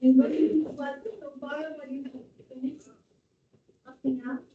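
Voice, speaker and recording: A woman's voice: high voice, level tone, moderate pace. A woman organizing a class, giving brief, friendly prompts in a classroom. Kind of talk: casual classroom remarks. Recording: echoey room, distant mic, dull sound.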